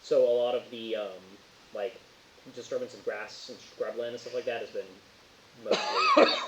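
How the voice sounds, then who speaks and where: A man improvising narration as a tabletop horror game master, casual and halting as he searches for words. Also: mid-range voice, fairly even intonation, a loud crowd. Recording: roomy, dull, hissy home recording.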